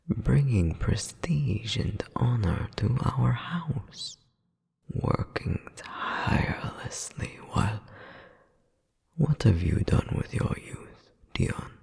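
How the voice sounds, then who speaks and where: A man voicing a cold, condescending royal demon older brother in an audio roleplay, speaking formally and deliberately in a breathy, gravelly voice. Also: a little mellow, in a large hall, full character voice. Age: adult, centuries-old demon. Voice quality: breathy, gravelly low whisper. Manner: formal, haughty, slow and deliberate. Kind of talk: dramatic audio roleplay monologue.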